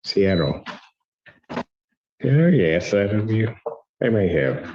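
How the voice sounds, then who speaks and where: Wry joking male judge, low voice. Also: very animated, slow, mellow, lo-fi sound. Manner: wry, teasing. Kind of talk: joking courtroom banter.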